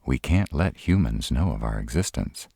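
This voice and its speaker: A man's voice: extremely low pitch, animated, normal speed, vocal fry, warm tone, slightly rough, no echo, clean studio sound, full character voice. A man playing a nervous, sarcastic male vampire in a roleplay audio, stammering and hesitant, easily exasperated. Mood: defensive, flustered.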